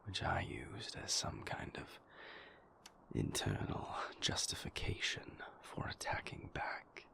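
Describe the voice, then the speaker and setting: Man acting as vampire boyfriend, very slow halting delivery, gravelly creak, formal, guarded, apologetic, intimate audio roleplay. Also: big pitch swings, pushed and bright, exaggerated voice. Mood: confessional, halting. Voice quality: breathy, whispery, gravelly low voice.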